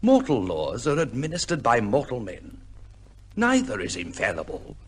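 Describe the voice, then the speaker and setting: A man's voice: mid-range voice, huge pitch swings, moderate pace, slight background noise. An actor playing an idealistic male barrister, earnest and oratorical, in an old-time radio drama. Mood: impassioned. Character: impassioned, idealistic male barrister with a warm conscience.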